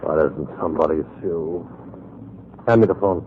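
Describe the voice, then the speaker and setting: An actor playing a coldly menacing, controlled racketeer boss, curt and measured, in a 1940s radio crime drama. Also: male voice, a bass voice. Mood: imperious. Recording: muffled, faintly noisy 1940s radio recording.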